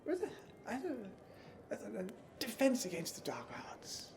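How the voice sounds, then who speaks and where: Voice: mid-range voice, wildly swooping pitch, normal speed, vocal fry. A performer voicing a muddled, tipsy, forgetful character in an ASMR fantasy roleplay, mumbling hesitantly and trailing off, with noise in the recording. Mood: absent-minded.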